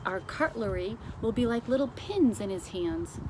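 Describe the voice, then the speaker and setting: Woman as male royal butler, storybook dialogue, formal, precise, composed authority, clearly noisy homemade recording. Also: a little high, animated, close to an everyday voice. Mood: pondering. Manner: formal, precise, giving measured orders.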